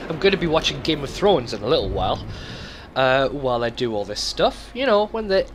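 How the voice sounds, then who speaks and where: A man's voice: a little high, noisy background. A man hosting a let's play with casual, rambling gameplay commentary and loose swearing.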